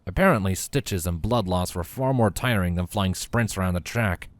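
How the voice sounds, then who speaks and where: A man's voice: low voice, normal speed, dry recording. A man reading scripted story narration as the narrator of a fan fiction tale.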